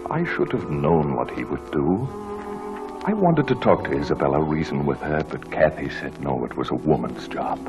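An actor playing a proper husband in a 1940s radio drama, speaking in a solemn, dramatic manner. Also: male voice, rumbling low, lively intonation, normal speed, music in the background. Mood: sorrowful, reflective. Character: proper, indignant husband narrating his sorrowful memories.

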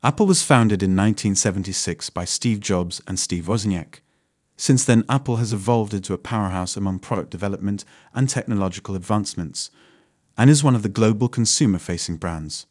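Low-voiced man reading a finance script. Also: brisk, darkish tone, dry recording, clean studio sound. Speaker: narrator of a finance channel. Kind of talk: scripted narration.

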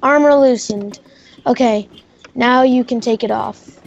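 A gamer who talks haltingly and repeats words while chatting in character in a Minecraft roleplay. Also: mid-range voice, creaky. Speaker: player in a gaming video. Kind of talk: casual in-character chat during a Minecraft roleplay. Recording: muffled mic with faint noise.

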